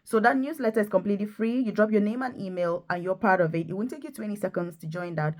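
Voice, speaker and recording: A woman's voice: mid-range voice, fast talker, a little mellow. Emphatic woman vlogger urging viewers on. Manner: emphatic, urging, repeats points for stress. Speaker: video host, nurse and career coach. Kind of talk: enthusiastic direct-to-viewer promotional vlog.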